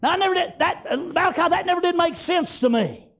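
A man preaches in a very high-pitched voice with a Southern US accent. His delivery is fervent and unscripted, moving between Bible reading and homespun retelling, punctuated with folksy exclamations. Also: lively intonation, a little fast, fairly bright tone. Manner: folksy, exclamatory, repeats praise God and amen as punctuation.

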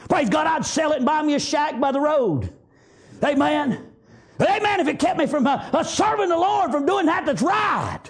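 A man with a Southern US accent preaching a fervent revivalist sermon, exhorting loudly and peppering it with praise interjections. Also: extremely high pitch, expressive, normal speed.

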